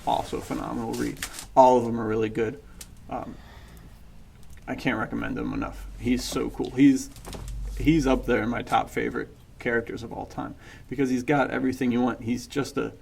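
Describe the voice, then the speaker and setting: A man's voice: mid-range voice, little pitch movement, moderate pace, creaky, darkish tone, slightly rough. A man chatting casually about books as a podcast co-host, in an enthusiastic, slangy and informal way. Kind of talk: casual book chat.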